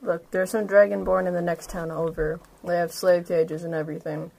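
Woman playing a tabletop rpg, lowish voice, casual but assertive, little bit of noise. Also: level tone, moderate pace, vocal fry, a little mellow, poor audio. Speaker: player in a tabletop game. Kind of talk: casual in-game talk.

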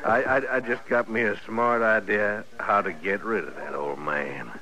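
An actor playing a scheming, mocking cowboy troublemaker with a folksy American Western drawl in a radio western. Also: male voice, fairly low pitch, normal speed, bright, forceful tone. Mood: sly. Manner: folksy, taunting, stammering as he schemes. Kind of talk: 1950s radio western acting. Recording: vintage radio recording, crowd noise.

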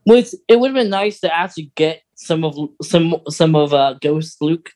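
A podcast co-host in casual film discussion, talking haltingly and thinking out loud. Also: mid-range voice, animated.

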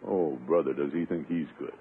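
An actor playing a blustering, jealous middle-aged bachelor in a 1950s radio sitcom, pompous and grumbling with theatrical exasperation. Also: male voice, very deep voice, brisk. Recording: tinny, noisy 1950s radio recording.

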